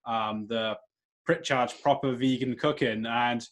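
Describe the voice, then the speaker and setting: A man's voice: medium pitch, steady tone, measured pace. A man from Wales co-hosting an interview, who rambles in a friendly way with plenty of fillers and small laughs. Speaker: podcast co-host. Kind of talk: casual interview chat.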